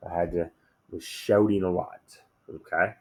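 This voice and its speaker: A man teaching a math lesson in a relaxed, conversational way, slightly hoarse after a day of shouting, often checking in with "okay?". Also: low voice, moderate pace.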